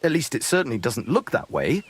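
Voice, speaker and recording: An actor playing a blunt, sardonic medieval horse trader with a husky voice in a video game. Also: male voice, mid-range voice, wildly swooping pitch, brisk. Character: gruff, hard-bargaining medieval horse trader, man. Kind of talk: video game dialogue acting.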